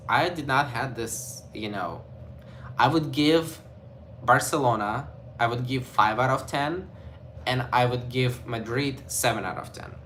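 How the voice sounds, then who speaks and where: A man's voice: mid-range voice, pushed and bright, a little hiss or hum. Rambling Ukrainian-accented young man. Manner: rambling, full of "like" and "you know". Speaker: vlogger. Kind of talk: casual vlog chat.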